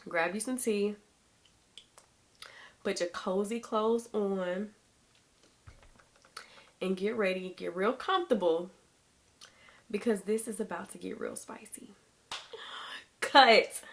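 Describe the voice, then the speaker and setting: A woman's voice: medium pitch, slow pace, long pauses, a bit bright. Chatty thirty-year-old woman vlogger. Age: 30s. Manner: chatty, addresses viewers as y'all. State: recovering from illness, sore throat. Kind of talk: casual chat to camera.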